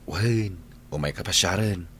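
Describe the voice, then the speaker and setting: A man's voice: low-pitched, measured pace, a bit bright, slightly rough, full character voice. A man with an Ilocano accent playing a bashful bachelor son who is courting, in a radio drama. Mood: shy courting.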